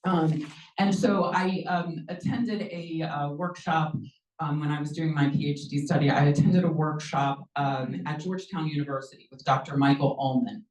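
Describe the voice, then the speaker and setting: Middle-aged lady teacher giving a talk, low voice, lots of ums, echoey room. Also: fairly even intonation, moderate pace, a little mellow, far from the mic. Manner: conversational, many ums, acts out quoted dialogue. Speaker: presenter, language teacher. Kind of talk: lecture presentation. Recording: echoey room, mic from afar.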